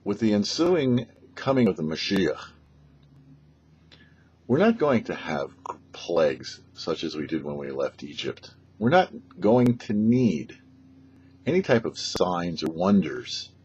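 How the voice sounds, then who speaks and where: An older man, a rabbi, giving a short prepared religious teaching to camera in measured, emphatic phrases with deliberate pauses. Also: sits low, sing-song, darkish tone, highs cut off, slight background noise. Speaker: rabbi and show host. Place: small indoor setup before a dark backdrop.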